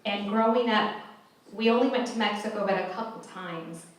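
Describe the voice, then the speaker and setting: A woman's voice: medium pitch, normal speed. A woman whose first language is Spanish, speaking earnestly on a panel with many restarts. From California, USA. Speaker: panelist, math educator. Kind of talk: panel discussion. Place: large echoey event hall. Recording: echoey hall, mic far off.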